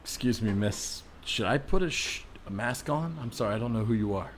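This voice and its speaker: A man improvises a baffled, polite everyman in a comedy scene. He speaks hesitantly and drily, with skeptical doubt, while his pitch moves around a good deal. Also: lively intonation, fairly quick, a little hiss or hum, lightly acted. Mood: confused, hesitant.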